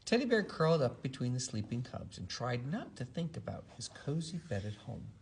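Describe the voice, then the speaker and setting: A man reading aloud to a child in an expressive, animated storytelling manner. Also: medium pitch, moderate pace, gravelly, a little hiss or hum. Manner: animated, acts out character lines. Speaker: storybook reader. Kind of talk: expressive read-aloud of a children's story, then casual chat.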